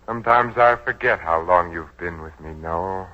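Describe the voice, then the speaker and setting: Male actor as measured, quietly menacing businessman, forties radio drama, low-pitched, muffled broadcast sound. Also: close to an everyday voice. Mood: insinuating. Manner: courteous, measured, insinuating. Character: suave, guarded wealthy businessman, quietly menacing beneath courtesy. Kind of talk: 1940s radio drama acting. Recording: dull 1940s radio broadcast recording.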